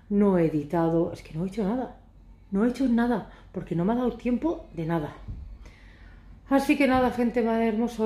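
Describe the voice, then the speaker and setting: A woman in her thirties, from Catalonia, chatting to camera in a casual, ironic, rambling way, a little hoarse. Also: medium pitch, dark tone, reverberant. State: hoarse from shouting. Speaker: vlogger. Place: living room sofa, indoors. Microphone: phone camera at arm's length.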